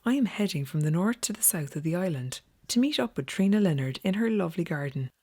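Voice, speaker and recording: A woman's voice: fairly low pitch, expressive, fast, darkish tone. A woman, narrating a travel documentary as its presenter.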